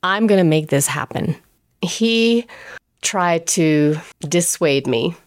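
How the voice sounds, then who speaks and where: Woman from England, pastry chef, chatty first-person storyteller who quotes others and laughs; smooth low voice. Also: lively intonation, slow pace.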